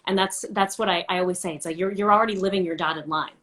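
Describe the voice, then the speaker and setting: A woman's voice: on the low side, fairly even intonation, fast talker, fairly bright tone. A woman hosting a casual, friendly interview, chatty and personable with a teasing streak. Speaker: interview host.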